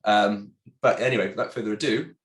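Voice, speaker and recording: A congested man with a chatty, halting manner, a sales director presenting a webinar. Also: on the low side, fairly even intonation, a bit bright. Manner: chatty, many ums and restarts. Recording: roomy echo.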